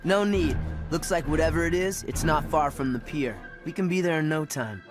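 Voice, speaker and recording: Teen gearhead, male actor: cocky, sarcastic, a bit higher-pitched, game cutscene over music. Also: moderate pace, natural voice. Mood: boastful, casual. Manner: sarcastic, blunt, offhand. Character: cocky, sarcastic teenage boy gearhead, gruff loner. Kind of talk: animated game cutscene acting.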